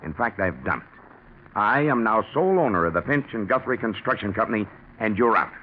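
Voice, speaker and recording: An actor playing a big, bluff, ruthless business partner with a gloating, taunting manner in a 1940s radio drama. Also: male voice, lowish voice, big pitch swings, fairly quick. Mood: cold, triumphant. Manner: gloating, taunting, hard-headed. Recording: dull, noisy 1940s radio recording.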